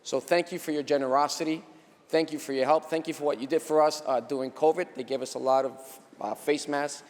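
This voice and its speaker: A man's voice: a little high, fairly even intonation. Guy senator doing a floor speech, stops and repeats himself, big echoey room. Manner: halting, repeats phrases. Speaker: state senator. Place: large indoor legislative chamber. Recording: echoey hall with long reverb.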